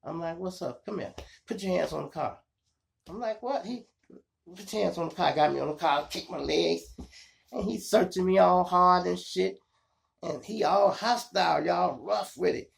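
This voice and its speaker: A man with a Chicago accent and a gravelly voice, telling street stories casually to his viewers in slangy, profane talk. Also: sits high, normal speed. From Chicago, United States. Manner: animated retelling, slangy, profane, acts out dialogue and sound effects. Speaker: storytelling podcast host.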